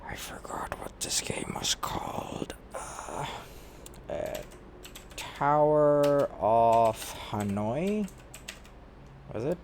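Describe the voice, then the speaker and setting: A man giving casual gameplay commentary, muttering and thinking aloud in a halting way. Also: mid-range voice, animated, a little mellow, whispered. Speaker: gamer. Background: game music, keyboard typing.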